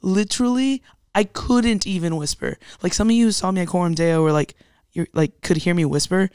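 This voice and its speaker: Casting: young woman, podcast co-host, raspy recovering voice, slangy and animated, recorded close and dry. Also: a little fast, no room sound. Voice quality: somewhat deep voice for a woman. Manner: animated, slangy, self-deprecating. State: recovering from a lost voice. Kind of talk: casual podcast chat.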